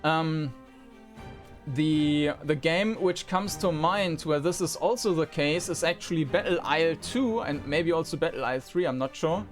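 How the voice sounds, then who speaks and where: A man's voice: on the high side, moderate pace. A man giving unscripted commentary on a game, thinking aloud in a hesitant way. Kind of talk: unscripted preview commentary over gameplay. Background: game music.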